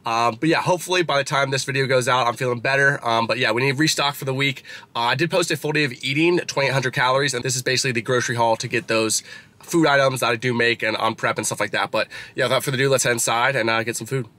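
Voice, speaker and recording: A man's voice: mid-range voice, steady tone. A congested young man with a nasal voice, chatting casually as he walks through a grocery store holding his camera. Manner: chatty, quick, rambling asides. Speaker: fitness vlogger. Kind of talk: casual vlog walkthrough. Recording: loud background music.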